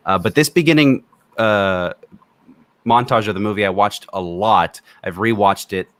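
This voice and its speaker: A man from Romania with a fairly deep voice, recorded very dry. He co-hosts a casual film discussion and gives his opinions bluntly, swearing freely as he goes. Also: normal speed, fairly bright tone, dry recording. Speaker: film review podcast co-host.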